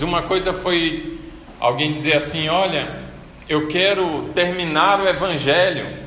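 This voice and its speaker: A man preaching a sermon in a conversational storytelling manner, often tagging his points with a quick rhetorical question. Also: mid-range voice, bright, forceful tone, a little hiss or hum. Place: church. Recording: echoey church hall with faint ambience.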